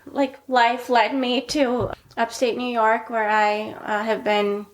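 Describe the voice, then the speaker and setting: A middle-aged woman speaking softly and casually, her pitch staying in a narrow, level band. She leans on filler words as she talks things through with a friend. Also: takes it slowly, a little hiss or hum. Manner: soft-spoken, many "like" fillers, steady even delivery. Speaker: podcast co-host, pediatrician. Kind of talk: casual conversational chat.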